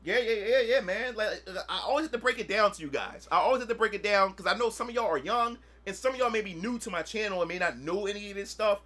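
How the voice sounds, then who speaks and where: A man's voice: high-pitched, quick pace, few pauses, bright, forceful tone. A man in his thirties, chatting casually on a gaming livestream, rambling and repeating himself as he reminisces. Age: 30s. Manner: rambling, repetitive, often asks "you know what I'm saying". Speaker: gaming streamer.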